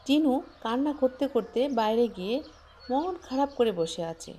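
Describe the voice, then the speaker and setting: A woman narrating a homemade cartoon fairy tale in a plain, even storytelling manner. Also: a little high, moderate pace, a little hiss or hum. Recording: music playing behind.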